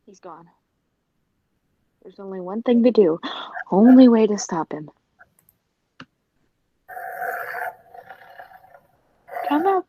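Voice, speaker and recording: A woman playing a jealous, cold-blooded circus performer in a 1940s radio drama, deliberate and scheming, on a muffled recording. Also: high-pitched, a little mellow, slightly rough, no room sound, exaggerated voice. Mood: cold, menacing.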